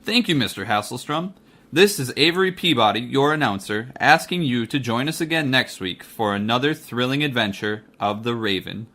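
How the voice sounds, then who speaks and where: Radio mystery announcer played by a man: formal, scripted, crisp bright edge, medium pitch. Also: animated, normal speed.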